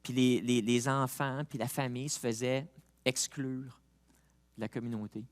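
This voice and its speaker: A man with a Québécois accent, a pastor preaching a sermon who moves from quiet reflection to loud, rising appeals, with chatty personal asides. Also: medium pitch, steady tone, normal speed. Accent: Québécois French. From Quebec. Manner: chatty asides with fillers and laughs.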